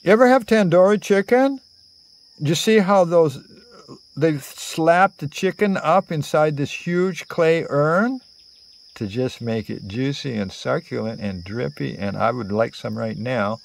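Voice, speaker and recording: Storyteller, male, 60s. Slow, halting, digressive and theatrical delivery, slightly high pitch, improvised to a phone camera. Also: lively intonation, gravelly. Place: outdoors under cover among greenery. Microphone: phone at arm's length.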